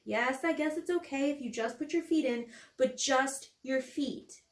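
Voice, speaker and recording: Woman voicing a high, bossy little girl. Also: unhurried, reverberant. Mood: bossy, motherly. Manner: earnest, insistent, playing grown-up. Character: young girl Ella, bossy, earnest, imaginative little sister.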